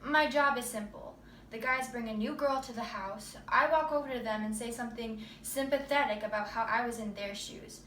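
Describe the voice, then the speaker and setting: A woman's voice: on the high side, normal speed, bright, forceful tone. A woman playing a conflicted, mercenary young trafficking recruiter in a rehearsed stage monologue. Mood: cold, matter-of-fact. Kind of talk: rehearsed readers theater monologue. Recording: roomy distant mic with background noise.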